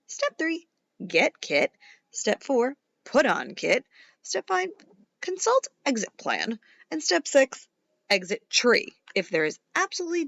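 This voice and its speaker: A woman with a high, unhurried voice reads a picture book to young children. She is playful and dramatic, leaning into key words and pausing for effect. The sound is slightly dull and lo-fi. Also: vocal fry, fairly bright tone, dry recording. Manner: dramatic, playful, with emphatic pauses and whispers. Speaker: teacher reading a children's picture book aloud. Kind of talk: expressive children's storybook read-aloud.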